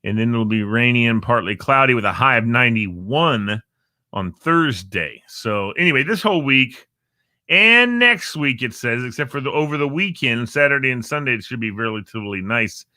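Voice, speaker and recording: Bright-voiced man rambling through a chatty show. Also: medium pitch, animated, normal speed, dry recording, studio quality. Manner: rambling, playful, jokey asides. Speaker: show host. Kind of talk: casual live show chat. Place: Northern Virginia.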